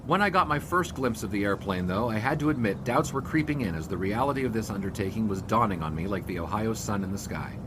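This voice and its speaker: A man's voice: lowish voice, expressive, quick pace, hardly any pauses, background noise, natural voice. An actor playing a dry, slightly uneasy 1920s sportswriter who narrates his adventure in radio-drama style. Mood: wry, then doubtful, uneasy. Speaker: narrator and lead character.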